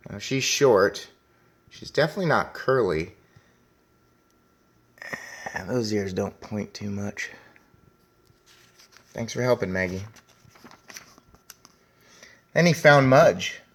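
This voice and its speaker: A man with a lowish, creaky voice reads aloud to children like a teacher. He is deliberate and speaks straight to his young listeners, mixing story narration with simple commentary. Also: fairly bright tone.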